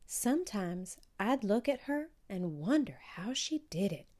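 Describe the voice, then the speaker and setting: A woman voicing a caring, thoughtful schoolteacher in a storybook, in a soft, measured, wondering manner. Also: medium pitch, sing-song, pitched above the performer's own voice. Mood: hushed wonder. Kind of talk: children's storybook read-aloud.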